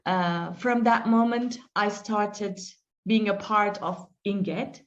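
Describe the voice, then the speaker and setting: Woman teacher, unhurried and reflective, muffled call audio. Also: medium pitch. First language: Turkish. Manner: slow, reflective, with frequent fillers and restarts. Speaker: English teacher being interviewed. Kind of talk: interview answers, storytelling.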